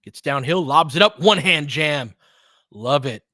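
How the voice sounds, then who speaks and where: A man's voice: fairly high pitch, lively intonation, measured pace, pushed and bright, no room sound, clean studio sound. A man calling a basketball game live in clipped phrases, with casual, fan-like asides between the plays. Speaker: basketball play-by-play commentator.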